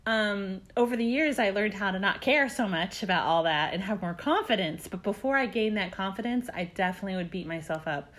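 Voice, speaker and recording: A woman co-hosting a casual podcast, talking in an easy conversational way. She is animated, often jumps into a higher voice to act out what she thinks, and sits in a mid range for a woman. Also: a little fast, few pauses. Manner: animated, acts out her own inner voice. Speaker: podcast co-host. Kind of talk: casual chat.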